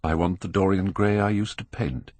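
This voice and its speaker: A man's voice: low voice, fairly even intonation, normal speed, a little mellow, dry recording. A man voicing an earnest painter in an audiobook, British, grave and pained as he pleads with a friend. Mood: sad. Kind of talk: audiobook character acting.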